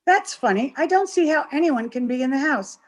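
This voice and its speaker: A woman's voice: high voice, animated, a little fast, a little hiss or hum. An actress playing a domineering, hard-headed, scornful woman, bossy and impatient, in the style of a 1930s radio horror play. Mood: wary, dismissive. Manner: bossy, sharp-tongued, impatient.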